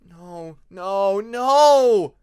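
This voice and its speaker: A man's voice: high-pitched, animated, dark tone, smooth, pitched above the performer's own voice, exaggerated voice. Man acting an earnest, emotional young dragon. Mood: horrified, pleading. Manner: emotional, pleading, halting. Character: young male dragon, earnest, guilt-ridden and timid.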